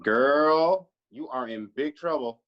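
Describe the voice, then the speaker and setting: A man's voice: medium pitch, takes it slowly, dull audio, lightly acted. A man playing a teasing, affectionate, folksy family friend in a screenplay table read. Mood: teasing, chiding. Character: sassy, teasing, motherly family friend named Rufus.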